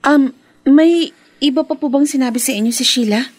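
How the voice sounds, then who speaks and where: A woman's voice: high-pitched, moderate pace, a little mellow. An actress playing an evasive, sweet-talking young woman in a Filipino radio drama, with a Filipino accent. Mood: defensive, evasive.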